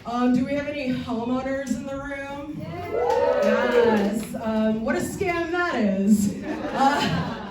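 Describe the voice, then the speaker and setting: A woman, delivering bawdy punchlines deadpan between chatty asides. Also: high voice, slow pace, echoey room, recorded from a distance, heavy background noise. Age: 40s. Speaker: stand-up comedian. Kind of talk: stand-up comedy set. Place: comedy theatre stage before a live audience.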